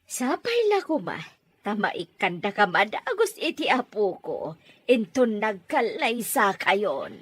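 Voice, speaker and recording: A woman's voice: mid-range voice, big pitch swings, slow, slightly rough, no room sound, full character voice. Woman acting middle aged matchmaker mom, super swoopy voice, theatrical, dry sound, audio drama. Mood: eager, insistent. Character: sociable middle-aged mother, keen on matchmaking.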